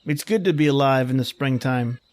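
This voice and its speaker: A man's voice: medium pitch, brisk, darkish tone, natural voice. A man playing a grumbling, slangy young fiancé in an old-time radio drama, with a wry, exasperated manner. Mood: romantic, resigned. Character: grumbling wisecracking young fiancé dreading his boss-lady's party. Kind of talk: 1930s radio drama acting.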